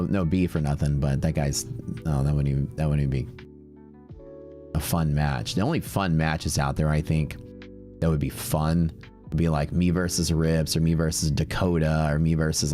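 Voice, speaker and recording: A man's voice: rumbling low, fairly even intonation, moderate pace, mellow, faint music behind. A man with a Texas accent, streaming live day trading and chatting casually and brashly with his viewers. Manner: casual, brash, talks to chat.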